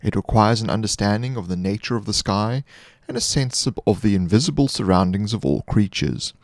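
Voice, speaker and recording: A man reading scripted fantasy monster lore as a narrator, in a measured, formal storytelling manner. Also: on the low side, expressive, no echo, clean studio sound.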